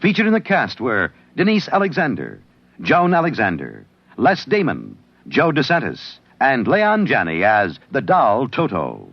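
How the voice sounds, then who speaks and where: Casting: American male announcer, husky and very animated in pitch, vintage radio credits. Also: measured pace, no echo. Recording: dull old radio recording.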